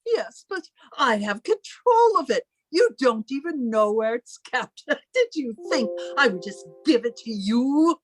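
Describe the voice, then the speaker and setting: Woman acting high-pitched, controlling older sister. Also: big pitch swings, a little slow, hardly any pauses, husky, no room sound, soft background music, full character voice. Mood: cold, controlling. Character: stern, controlling, lonely older sister guarding her family's money. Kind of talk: old-time radio melodrama acting.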